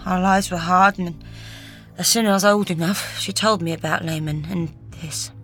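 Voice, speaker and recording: A volatile young French man torn between love and hatred, as a woman reads him. His voice is bright and strained, and it cracks into shouts. Faint music plays underneath. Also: lowish voice, fairly even intonation, normal speed. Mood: somber.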